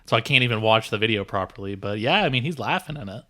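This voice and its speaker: A man's voice: lowish voice, expressive, quick pace, fairly bright tone. A man producing a podcast, chatting in a distracted, offhand way with lots of "like". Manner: distracted, offhand, says "like" a lot. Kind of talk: casual chat.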